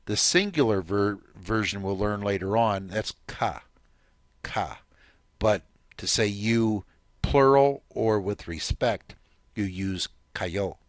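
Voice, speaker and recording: A man's voice: on the low side, no echo, clean studio sound. A man teaching a recorded language lesson, patient and explanatory, prompting the listener to repeat.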